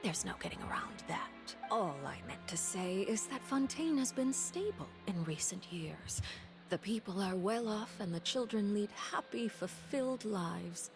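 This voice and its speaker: An actress voicing a composed woman diplomat in a video game, formal and measured, with veiled menace under her politeness. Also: medium pitch.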